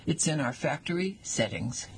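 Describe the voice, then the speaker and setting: A man's voice: a little high, expressive, moderate pace, heavy background noise. A man in his sixties reading a personal essay aloud with wry, dry humor.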